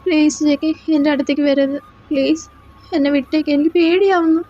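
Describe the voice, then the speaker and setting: A woman voicing a frightened young man held captive, who begs and panics in a high voice. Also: fairly even intonation, fairly quick, dark tone, close to an everyday voice. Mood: pleading. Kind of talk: Malayalam fan audio drama. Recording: slightly dull lo-fi recording with faint music.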